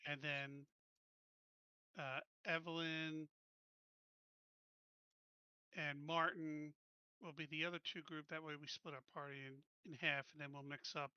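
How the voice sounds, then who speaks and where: A man's voice: fairly high pitch, little pitch movement, a little slow, long pauses, pushed and bright, clear, resonant tone, no room sound, highs cut off. A man playing a tabletop game, casually laying out tactics and directing the group in a decisive way.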